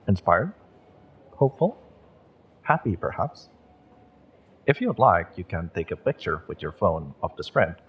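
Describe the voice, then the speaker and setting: A man playing a kind, wistful witch and tarot shopkeeper in a roleplay audio, speaking to the listener in a gentle, encouraging, unhurried way. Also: low voice, slightly rough, heavily acted. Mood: warm, inviting.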